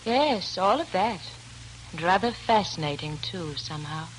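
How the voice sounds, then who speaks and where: An actress playing a spirited, kindly young showgirl with crisp, polite delivery in 1940s radio drama style. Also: on the low side, lively intonation, a little slow, background noise. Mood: intrigued. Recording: old 1940s radio broadcast with music.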